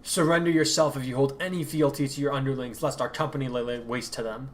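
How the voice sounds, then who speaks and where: A man's voice: mid-range voice, fairly even intonation, fast, natural voice. A man voicing a stern, commanding woman archer.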